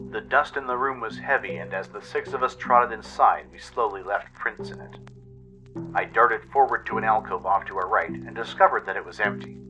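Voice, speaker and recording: Male radio-play narrator, first-person storytelling, very sharp forceful tone over a music bed. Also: on the low side, rough recording.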